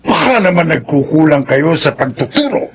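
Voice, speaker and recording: A man playing a demanding, exasperated family elder in a Filipino radio drama, emphatic and scolding, with a husky voice. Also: a little high, sing-song, normal speed, slight background noise.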